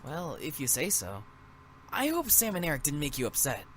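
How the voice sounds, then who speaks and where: Boyish, lively young male demon. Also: low-pitched, moderate pace, slight background noise. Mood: friendly concern. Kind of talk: visual novel voice acting.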